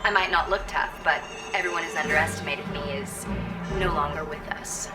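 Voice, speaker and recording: A woman playing a confident, quietly threatening military commander in a video game. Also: sits low, fairly even intonation, brisk, far from the mic, natural voice. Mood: self-assured, coolly menacing. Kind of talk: video game character dialogue. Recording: game audio with music behind.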